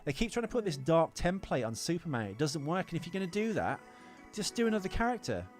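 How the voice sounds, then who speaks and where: A man's voice: on the high side, very animated, fast, soft background music. A man co-hosting a casual film podcast, talking in an opinionated, rambling way with plenty of swearing. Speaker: podcast co-host.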